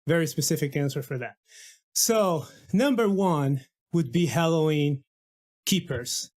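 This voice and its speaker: A man's voice: a little high, measured pace, darkish tone. A man from Brazil, a guest musician in a casual podcast interview, talking enthusiastically with many restarts and fillers.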